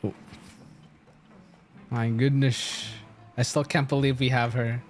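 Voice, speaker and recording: A streamer reacts live to a video game in a casual, slangy way. The pace is slow with an excitable manner, and faint music sits behind the voice. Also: mid-range voice. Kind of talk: casual live gameplay commentary.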